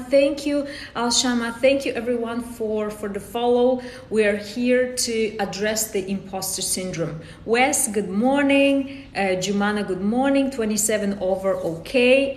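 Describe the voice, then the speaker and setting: A woman from Romania with a Romanian accent, a life coach hosting a live-streamed workshop, greeting viewers by name and often repeating or restarting her phrases. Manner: greets viewers by name and reads comments aloud. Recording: echoey room with faint rumble.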